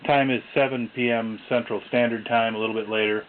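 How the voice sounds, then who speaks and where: A man casually demonstrating a vintage radio, reading out frequencies in a halting mutter. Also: medium pitch, steady tone. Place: indoors, beside a shortwave radio on a table. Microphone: camera mic, off-screen speaker. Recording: tinny lo-fi camera audio, loud radio static. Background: shortwave radio static and stations.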